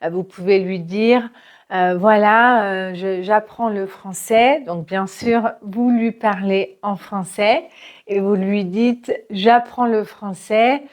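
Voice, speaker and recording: A woman teaching her language in a relaxed spoken lesson, patient and full of small hesitations. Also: mid-range voice. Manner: slow, patient, with frequent hesitations and fillers. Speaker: French teacher.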